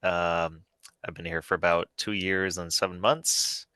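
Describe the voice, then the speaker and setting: Man from El Salvador, software engineer, relaxed, very low voice, dry sound. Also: a little slow, clean tone, no echo. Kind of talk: casual chat on video call.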